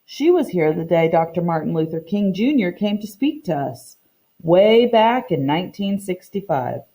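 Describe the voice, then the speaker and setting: A woman voicing a kindly, proud old Southern woman in a children's picture book read-aloud, with an unhurried, folksy way of talking. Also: lowish voice, lively intonation, dark tone. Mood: reminiscing. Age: older.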